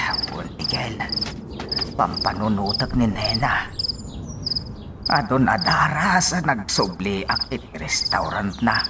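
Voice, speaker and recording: A man's voice: fairly low pitch, heavy background noise. A man playing a weary older father who broods anxiously over his daughter in a radio drama. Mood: weary worry.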